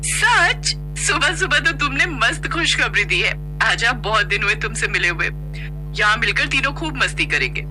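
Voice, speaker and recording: A woman's voice: on the high side, very animated, moderate pace, music in the background. A woman voicing a cheerful young newlywed wife, chatty, affectionate and lightly chiding, in an audio story.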